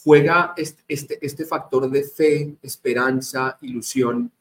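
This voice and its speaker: A man's voice: a little high, fairly even intonation, slow pace. A man with a Colombian accent hosting a conversational interview, speaking emphatically and directly to his guest and audience. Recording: noticeable background noise.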